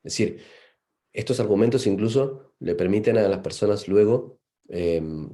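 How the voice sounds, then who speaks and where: A man's voice: fairly low pitch, normal speed, warm tone. A man from Argentina with an Argentine accent, giving a seminar lecture as a psychologist, thoughtful and full of fillers and "¿no?" tags. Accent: Argentine Spanish.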